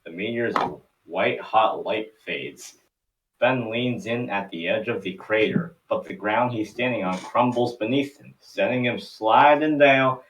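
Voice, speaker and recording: A man's voice: on the low side, measured pace, recorded from a distance, slight background noise, poor audio. A man narrating stage directions for a cartoon table read in a scripted storytelling style.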